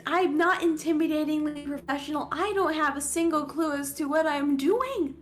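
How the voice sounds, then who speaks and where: A woman from the United States, a guest in a casual streamed chat, who talks in an animated, expressive and self-mocking way. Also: high-pitched, normal speed.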